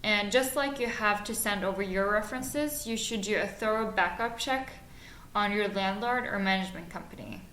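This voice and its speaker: A woman in her twenties from Norway, co-hosting a podcast and giving practical advice in a measured way with frequent fillers. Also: mid-range voice, a bit bright. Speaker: podcast co-host. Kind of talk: scripted podcast chat. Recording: echoey room, faint noise.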